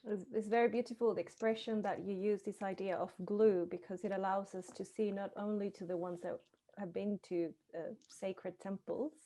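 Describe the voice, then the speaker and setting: Woman interpreter, warm mellow voice, passes along the questions plainly. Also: mid-range voice, fairly even intonation, moderate pace. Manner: relays questions plainly, with small restarts. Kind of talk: consecutive interpreting.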